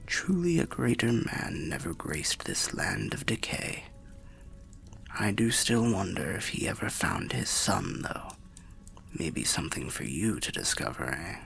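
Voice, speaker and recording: A man voicing a weary old undead wanderer telling fantasy tales in ASMR roleplay, half whispered and gravelly, with a measured, wry, old-fashioned way of speaking. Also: very animated, fairly bright tone, soft background music, full character voice. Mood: fond, hushed. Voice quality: breathy whispering, gravelly low voice. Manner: measured, archaic, wry storyteller.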